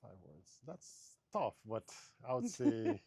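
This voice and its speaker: A man's voice: mid-range voice, sing-song, slow, dark tone, dry recording. A man from Haiti, in his thirties, answering interview questions in a thoughtful, hesitant way with many fillers and restarts.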